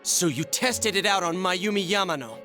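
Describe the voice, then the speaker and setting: An actor playing a hot-headed, indignant teenage boy in a video game, forceful and accusing. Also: high voice, expressive, moderate pace, soft background music. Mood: angry accusing. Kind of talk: dramatic video game voice acting.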